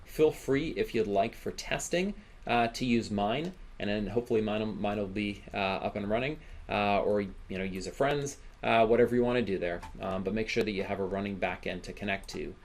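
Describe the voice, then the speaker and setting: A man with a fairly low, gravelly voice leads a screencast lesson. He explains informally, thinking aloud as he works and often tagging phrases with a checking "right?". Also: normal speed. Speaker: instructor. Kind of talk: software screencast tutorial, thinking aloud while coding.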